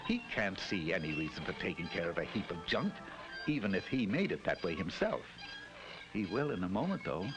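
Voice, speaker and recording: A man's voice: low-pitched, big pitch swings, fairly quick, poor audio. A man giving scripted 1960s educational film narration in a wry, mock-solemn storytelling manner. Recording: narration over loud music.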